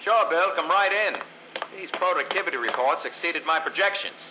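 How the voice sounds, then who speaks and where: Actor as a brash, greedy American factory boss. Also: male voice, a little high, background noise, close to an everyday voice. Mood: smug, breezy. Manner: brash, dismissive, hurried. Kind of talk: old-time radio drama acting. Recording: lo-fi old radio sound.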